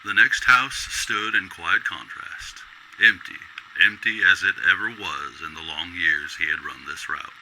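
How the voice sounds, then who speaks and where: A man reading scripted narration for a radio drama in a measured, descriptive storytelling manner. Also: sits low, low-quality recording. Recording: loud background noise.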